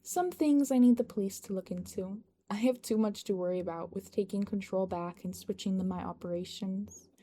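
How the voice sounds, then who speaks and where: A woman's voice: mid-range voice, moderate pace, few pauses, warm tone, slight background noise, natural voice. A woman voicing a cocky, composed young male leader with an easygoing, wry manner in a narrated story.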